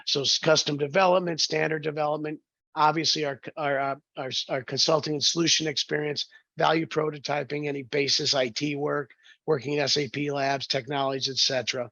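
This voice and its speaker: A man with a hoarse, froggy voice hosting a business webinar, halting with many fillers and restarts. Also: on the high side, flat delivery, sharp tone, dry recording, muffled recording. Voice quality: gravelly. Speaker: webinar host, sales director. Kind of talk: webinar presentation.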